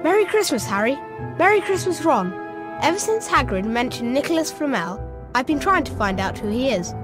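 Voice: sits high, sing-song, fairly quick, music in the background. A performer playing an earnest, curious young schoolboy wizard in a video game. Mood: earnest, reading aloud.

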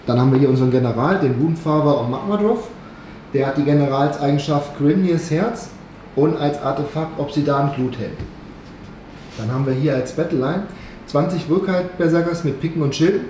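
A man in his thirties co-hosting, welcoming viewers and briskly reading out an army list. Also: a little high, dark tone. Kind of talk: casual chat and listing game units. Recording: echoey room with faint hiss.

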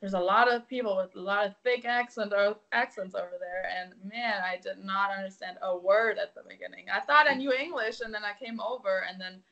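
A woman's voice: mid-range voice, brisk, vocal fry, hardly any pauses, fairly bright tone, dull audio. A young woman with a German accent, a native German speaker from Germany, talking candidly and reflectively as a guest over a video call from home. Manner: open, reflective, candid storytelling. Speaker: musician guest. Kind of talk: casual interview chat. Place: indoors at home.

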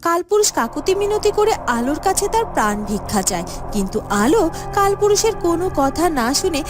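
A woman's voice: very high voice, very animated, fairly quick. A woman giving scripted storybook narration as the narrator of a fairytale cartoon. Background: music.

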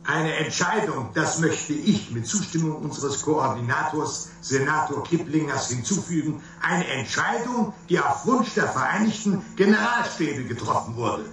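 Emphatic official, strongly echoing. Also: male voice, a little high, animated, moderate pace, hardly any pauses, a bit bright. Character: emphatic, formal male official at a hearing. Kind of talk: German radio drama acting. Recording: very echoey hall, distant.

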